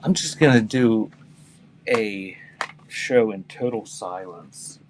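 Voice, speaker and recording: Male live auction seller, low voice, wry and rambling, casual delivery, noisy background. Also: takes it slowly. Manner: wry, rambling, joking with chat. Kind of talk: casual chat while reading out album titles for a live sale. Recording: clear background noise.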